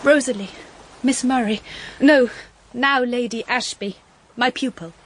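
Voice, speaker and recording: An actress playing an earnest, wistful young woman narrating her story in a measured, literary period-drama style. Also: a little high, a bit bright, a little hiss or hum. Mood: subdued.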